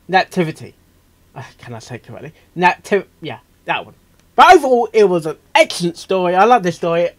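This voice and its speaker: A man hosting a reaction video, rambling casually and excitably, stammering and repeating himself as he goes. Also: high voice. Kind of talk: casual off-the-cuff reaction commentary.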